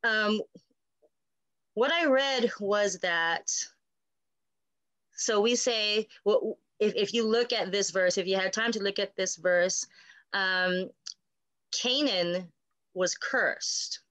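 A woman's voice: medium pitch, takes it slowly, pauses often, a bit bright, no room sound. Woman pastor explaining in conversation, dry close sound. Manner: explanatory, often checks with "right?". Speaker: pastor co-hosting a Bible study. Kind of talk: conversational Bible teaching.

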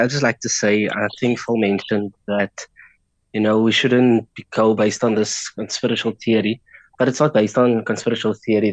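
A man's voice: lowish voice, dry recording, low-quality recording. A man with a South African accent, a native Afrikaans speaker, giving earnest remarks as an interview guest. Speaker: guest, fan community founder.

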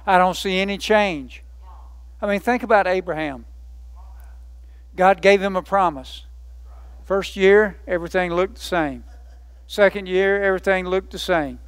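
A man's voice: high-pitched, measured pace, long pauses, smooth, no echo. A man preaching a sermon, emphatic, building lists by repetition and asking rhetorical questions.

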